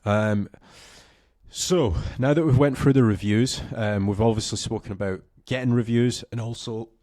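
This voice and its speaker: A young man, slightly hoarse with a cough, giving a casual, filler-heavy screen-share tutorial into a close studio mic. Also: medium pitch, normal speed, darkish tone, no room sound. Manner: casual, rambling with many fillers. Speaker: SEO coach.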